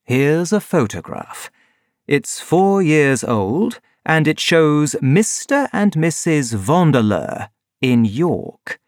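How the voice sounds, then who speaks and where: A reader voicing a composed male detective who explains and gives orders in measured tones. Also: a little high, no echo, studio quality, pitched above the performer's own voice, full character voice. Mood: calm. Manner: calm, measured, authoritative. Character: calm, commanding male detective.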